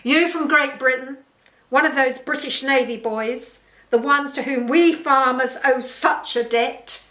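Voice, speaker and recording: A woman's voice: sits high, measured pace, bright, forceful tone, reverberant, poor audio. A woman reading the part of a surly, sneering farm boss in his late thirties from a story, with a curt, barking manner. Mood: sneering, suspicious.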